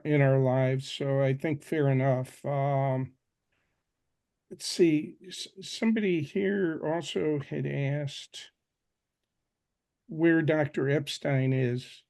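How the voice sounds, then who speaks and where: A man in his seventies hosting a patient panel, speaking in a slow, halting way. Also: fairly high pitch, gravelly, a little mellow. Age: 70s. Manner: slow and halting, with restarts and fillers. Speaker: forum host. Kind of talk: moderating a video panel.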